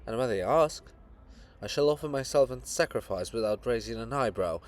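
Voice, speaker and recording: A man's voice: mid-range voice, animated, moderate pace, close to an everyday voice. A man voicing a proud, cold princess monument, guarded and grandiose, in a solemn, declamatory dramatic reading. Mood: proud, bitter.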